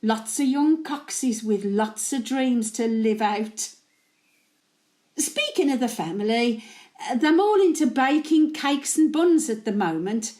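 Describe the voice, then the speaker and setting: A woman's voice: fairly high pitch, expressive, takes it slowly, a little mellow. A woman playing a chatty, opinionated elderly working-class widow with a Birmingham accent, rambling confidingly in a dramatic monologue. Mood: reflective, resolute, then fond, gossipy. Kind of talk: dramatic monologue in character, addressed to an absent husband.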